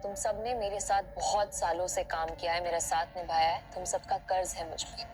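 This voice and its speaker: Actress as female casino owner, commanding, protective, audio drama delivery, clear background music. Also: fairly low pitch, steady tone, fairly quick, darkish tone, close to an everyday voice. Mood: grave, resolute. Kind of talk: Hindi audio drama acting. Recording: music behind the voice.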